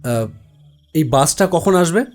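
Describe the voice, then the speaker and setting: A man voicing an uneasy office worker stranded at night in an animated horror story, hesitant and nervous. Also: a little high, slow, faint music behind. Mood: puzzled. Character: uneasy young male office worker, lone night passenger.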